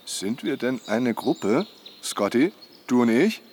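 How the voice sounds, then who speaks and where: A man's voice: mid-range voice, sing-song, takes it slowly, a little mellow, a little hiss or hum. Chatty jovial camper dude in a radio play, needling his buddy, voice jumps up and down a lot. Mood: teasing, pushy. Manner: teasing, chatty, punctuated by chuckles and tag questions. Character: jovial, pushy, chattering male camper goading his companion. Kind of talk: naturalistic German radio drama dialogue.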